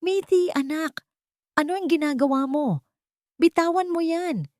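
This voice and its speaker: A woman's voice: extremely high pitch, measured pace, warm tone, dry recording, clean studio sound, voice pushed up. A woman voicing an anguished, panicked father in an audio drama, pleading and urgent. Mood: alarmed. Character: anguished, panicked father finding his hurt child.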